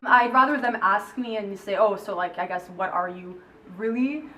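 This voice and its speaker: A woman's voice: medium pitch, moderate pace, bright, forceful tone. A young woman whose first language is Polish, answering interview questions casually with many filler words. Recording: distant mic, faint noise.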